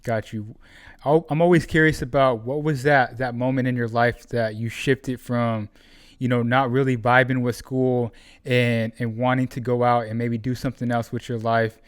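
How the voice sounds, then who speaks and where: Male podcast host, mid pitch, smooth resonant voice, dry sound, casual interviewer. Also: moderate pace, dry recording. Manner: relaxed, loose questions with restarts.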